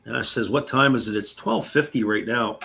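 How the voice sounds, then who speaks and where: A man calling a live baseball game play by play in a relaxed, chatty way, reading viewer comments between pitches, his voice going raspy. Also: moderate pace, a little hiss or hum. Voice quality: gravelly. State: voice getting raspy.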